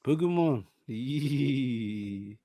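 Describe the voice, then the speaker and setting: A young man hosting a casual podcast interview, slangy, animated and quick to laugh. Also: mid-range voice, no room sound. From New Zealand. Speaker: podcast host.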